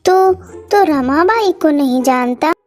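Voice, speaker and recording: A woman's voice: sits high, animated, slow. A woman voicing a snooty, short-tempered old woman in a Hindi cartoon story, bossy and scolding. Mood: indignant. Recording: music playing behind the voice.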